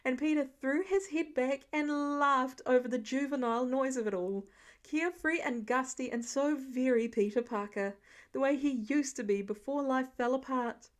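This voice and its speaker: A woman with a high voice reads prose fiction aloud. Her delivery is expressive, scripted storytelling in the manner of an audiobook. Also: normal speed. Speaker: audiobook-style fan fiction reader.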